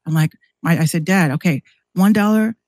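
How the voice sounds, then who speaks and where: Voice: medium pitch, moderate pace, darkish tone, dry recording, clean studio sound. A podcast guest who hosts a show, talking casually and at length in a rambling, conversational way.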